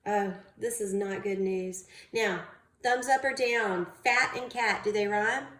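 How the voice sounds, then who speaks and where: A woman reading a picture book aloud to young children as a teacher, expressive and drawn-out, pausing to put questions to them. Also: mid-range voice. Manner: animated, drawn-out delivery, prompting young listeners. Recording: roomy, echoing indoor sound.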